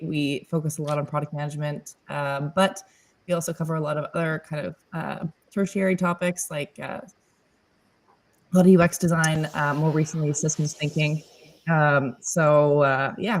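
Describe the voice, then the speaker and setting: Upbeat woman podcast host chatting. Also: low voice. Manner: upbeat, with many fillers and soft laughs. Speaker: podcast host, panel guest. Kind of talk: casual panel conversation.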